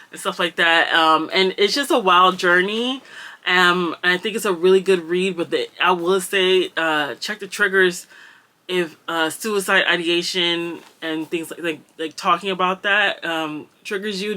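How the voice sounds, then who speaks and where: A woman's voice: fairly low pitch, sharp tone. A young woman with a slight Jamaican accent, chatting casually through a book wrap-up and rambling with lots of ums and likes. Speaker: BookTuber.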